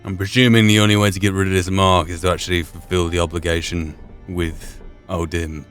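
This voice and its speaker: A man's voice: deep voice, flat delivery, fairly quick, fairly bright tone, faint music behind. A man hosting a game playthrough, giving commentary as he goes. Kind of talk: game commentary and dramatic reading aloud. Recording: faint background noise.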